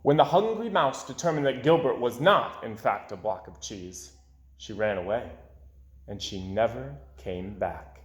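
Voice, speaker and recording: A man reading a children's storybook aloud in an expressive style, with dramatic pauses and drawn-out words. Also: on the low side. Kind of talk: expressive read-aloud narration. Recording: roomy, echoing indoor sound.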